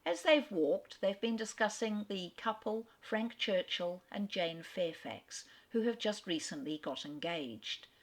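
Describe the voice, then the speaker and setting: A woman with an Australian accent giving a literary talk and reading a novel aloud with measured, expressive storytelling. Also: medium pitch. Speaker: literary speaker. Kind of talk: lecture ending in a dramatic reading.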